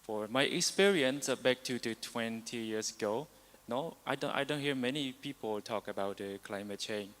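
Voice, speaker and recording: Man, halting delivery, average pitch, long hall reverb. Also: fairly bright tone. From Cambodia. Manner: halting, many hesitations. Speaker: embassy delegate. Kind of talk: panel sharing. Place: large conference hall.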